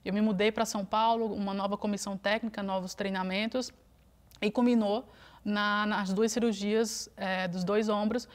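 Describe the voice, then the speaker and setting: A woman in her thirties from Brazil answers questions in a TV studio interview. She speaks in a relaxed, reflective way at an ordinary mid pitch, pausing on small fillers. The sound is clean, close lapel-mic audio. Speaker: interview guest, Paralympic swimmer.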